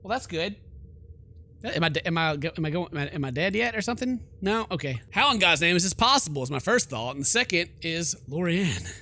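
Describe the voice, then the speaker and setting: A man's voice: a little high, very animated, fast, hardly any pauses, bright, forceful tone. A man hosting a let's play, reading game text aloud and commenting with jokey, theatrical reactions. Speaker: gaming video host.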